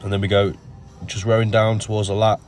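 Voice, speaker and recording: A man with a nasal voice from a cold, explaining his gym workout in a relaxed voice-over full of ums. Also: lowish voice, brisk, soft background music. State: has a cold. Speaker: bodybuilder narrating his workout. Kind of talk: casual voice-over commentary.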